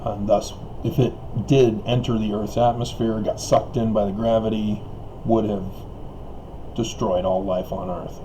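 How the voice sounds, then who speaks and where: A man's voice: fairly low pitch, level tone, a little slow, very noisy. A man talking in a rambling solo monologue, chuckling and hesitating often while his voice wears out and he coughs. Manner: chuckles often between remarks; hesitant, many fillers and restarts. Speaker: online video commentator.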